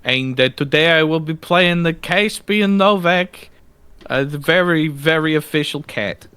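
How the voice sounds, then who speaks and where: A man's voice: fairly high pitch, a little slow, bright, forceful tone, smooth, dry recording. A tabletop game player with a man's voice, upbeat and teasing in casual chat.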